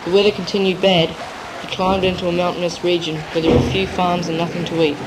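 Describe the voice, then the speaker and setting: Hissy noisy 80s tape, kiwi teen narrating a story, pretty monotone, measured, school drama project. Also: medium pitch. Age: teenager. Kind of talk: scripted third-person literary narration. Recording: noisy amateur 1980s cassette tape.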